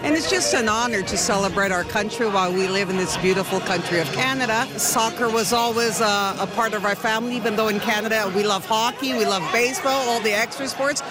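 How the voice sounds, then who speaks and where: A woman from the Azores, a fan interviewed in the street, speaking in a proud, measured way. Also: medium pitch. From Azores, Portugal. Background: music.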